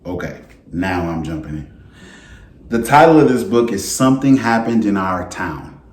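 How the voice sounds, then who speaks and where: A man reading a children's picture book aloud as a school principal, in a deliberate, expressive way. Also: deep voice. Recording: roomy, echoey indoor sound.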